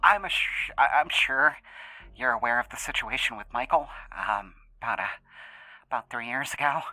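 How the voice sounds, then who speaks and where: An actor playing an anxious, earnest young man in an audio drama interview, speaking haltingly and repeating words. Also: mid-range voice, animated, sharp tone, dry recording, low-quality recording. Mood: uneasy, halting. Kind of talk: naturalistic audio drama acting.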